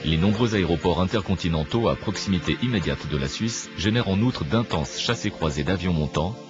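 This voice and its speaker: A man reading a scripted documentary narration in a measured, didactic manner. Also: low-pitched, expressive, dull audio. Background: clear background music.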